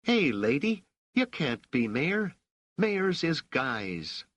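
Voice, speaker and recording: Man putting on a cheeky high-pitched street boy. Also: big pitch swings, slow pace, a bit bright, dry recording, full character voice. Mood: brash, mocking. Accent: fictional working-class street dialect. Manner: slangy, ungrammatical, brash. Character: cheeky rough-mannered street boy, about twelve.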